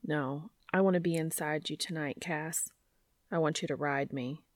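Woman acting brash man, blunt, slangy, earnest, lowish pitch, very dry recording. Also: fairly even intonation, normal speed, no room sound, close to an everyday voice. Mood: low, seductive.

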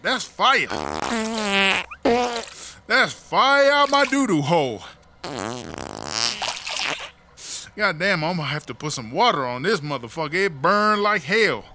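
A man's voice: high voice, heavy background noise. A man playing Chartreuse, a brash, foul-mouthed, dramatic female prison inmate, in a slangy, exclaiming drawl for a comedy audio drama. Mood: agonized. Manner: slangy, melodramatic, grumbling.

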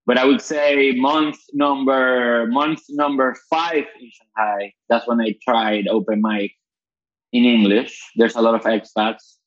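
A man's voice: mid-range voice, steady tone, a little slow. Swearing Venezuelan man on a muffled call. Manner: casual, swears freely, restarts sentences. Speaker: guest comedian. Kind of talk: casual podcast chat. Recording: dull lo-fi internet call audio.

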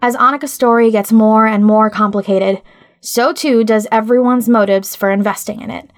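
A woman's voice: on the high side, fairly even intonation, moderate pace, soft background music. Woman presenter reading a scripted introduction.

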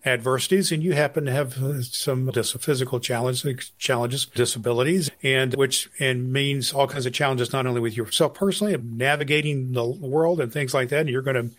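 Halting male podcast host. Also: medium pitch, a bit bright. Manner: halting, searching for words. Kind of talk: podcast interview.

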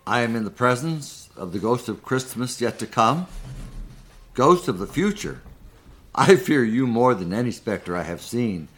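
A man's voice: mid-range voice, sing-song, moderate pace, fairly bright tone. A man playing a fearful, repentant old miser in a live dramatic reading. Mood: fearful, earnest. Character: frightened, repentant old miser pleading with a spirit.

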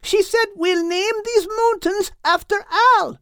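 Woman voicing a lil patient animal girl, high voice, explaining slow. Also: dry recording, studio quality.

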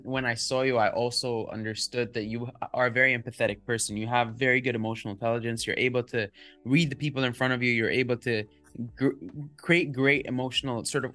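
A man's voice: mid-range voice, a bit bright. A man hosting a podcast interview, asking long, rambling questions with repeated words and fillers. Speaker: podcast host.

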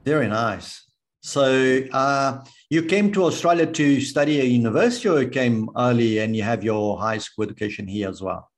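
A man's voice: mid-range voice, normal speed. Male interview host. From Brazil. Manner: repeats words as he rephrases questions. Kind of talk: conversational interview.

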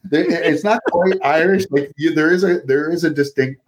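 A man's voice: a little high, normal speed. A man from Canada chatting casually as a podcast guest, with lots of fillers. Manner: chatty, laughs easily, many likes and you-knows. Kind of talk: casual video-call chat.

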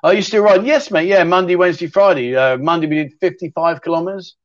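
Matey host, middle-aged, rambling chat, slightly raised pitch, dry close mic. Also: male voice, fast, no room sound, highs cut off. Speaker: livestream chat show host. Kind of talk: casual livestream chat reading viewer questions.

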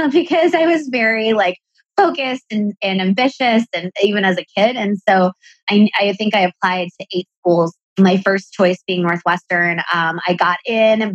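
A woman's voice: mid-range voice, no echo, lo-fi sound. A woman with an American accent, raised in Pakistan, chatting as a podcast guest, upbeat and explaining at length. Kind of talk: podcast interview.

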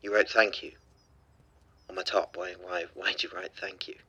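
Bright-voiced, awkward teenage boy. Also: deep voice, little pitch movement, breathy edge, full character voice. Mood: nervous, hesitant. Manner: hesitant, stammering, halting. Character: awkward, tender teenage schoolboy, shy and unsure. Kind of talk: naturalistic audio drama dialogue.

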